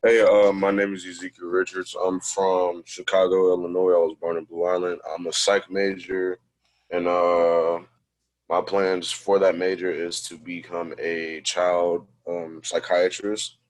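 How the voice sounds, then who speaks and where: A young man, a college student, introducing himself in an online class with hesitant pauses. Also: deep voice, flat delivery, low-quality recording. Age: young adult. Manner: halting, with "uh" and "um" pauses.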